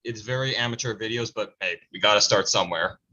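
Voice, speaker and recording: Lofi call, guy w slightly low but sharp voice casually plugging his amateur stuff. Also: quick pace. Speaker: amateur voice actor. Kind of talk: casual self-introduction. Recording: dull lo-fi call audio.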